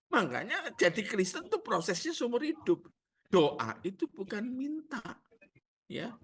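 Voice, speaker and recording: Animated husky pastor with Javanese accent. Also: male voice, high-pitched. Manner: animated, rhetorical questions and jokes to the congregation. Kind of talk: sermon.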